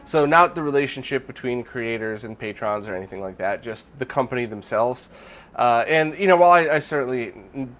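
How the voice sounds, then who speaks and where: A man's voice: mid-range voice, fairly bright tone. Congested man hosting a casual Q&A. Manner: rambling, friendly, many fillers and restarts. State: congested, nasal from illness. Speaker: channel host. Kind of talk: casual Q&A monologue.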